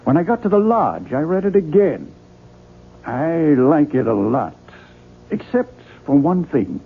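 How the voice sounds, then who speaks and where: An actor playing a persuasive, avuncular book publisher in a 1970s radio drama, raspy and theatrical, coaxing with emphasis. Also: male voice, a little high, big pitch swings, a little slow, heavily acted. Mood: genial. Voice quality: raspy, gravelly. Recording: muffled, narrow-band vintage radio recording.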